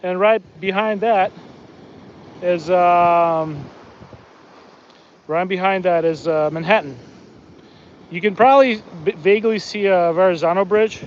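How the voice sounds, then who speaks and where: A man's voice: sits high, unhurried, long pauses, highs cut off, slight background noise. A man born in the Soviet Union, chatting casually on a live stream as he fishes from a beach, talking to the fish and to his viewers. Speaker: fishing livestream host. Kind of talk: casual live commentary while fishing. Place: outdoors on a beach by the water. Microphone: phone.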